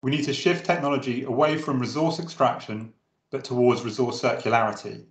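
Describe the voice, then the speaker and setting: A man narrates a prepared talk in a measured, steady way. His voice sits at a middle pitch. The room around him adds a clear echo. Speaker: presenter. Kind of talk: scripted narration over drawings. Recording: roomy echo.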